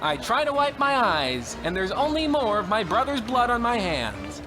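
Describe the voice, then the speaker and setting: Anguished soldier narrator for radio drama, male actor: high-voiced, very animated, with loud noise behind. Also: moderate pace, over music, poor audio. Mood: anguished, dramatic. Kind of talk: dramatic first-person radio play narration.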